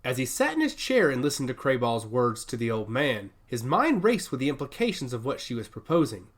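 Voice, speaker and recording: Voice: medium pitch, very animated, a little fast. A narrator reading a dramatic scripted story in the manner of an audiobook.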